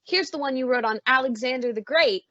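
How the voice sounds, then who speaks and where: A doting mother of a grown son acted by a woman in a vintage-style radio play, fussing and gently chiding. Her voice is high and bright. The recording is dry and slightly dull. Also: fairly quick, dry recording. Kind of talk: old-time radio suspense acting. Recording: slightly dull, muffled audio.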